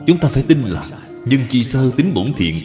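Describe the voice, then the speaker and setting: An earnest elder brother who gently admonishes, from a moral cartoon , acted by a man. His medium-pitched voice rises and falls, with long reverb around it. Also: very animated, moderate pace, soft background music, lightly acted. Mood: solemn advice.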